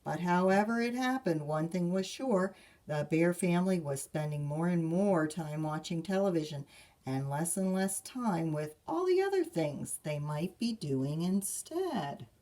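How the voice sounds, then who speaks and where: A woman reading a picture book aloud to children as a librarian, expressive and measured, with asides to listeners. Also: lowish voice. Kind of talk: children's storybook read-aloud.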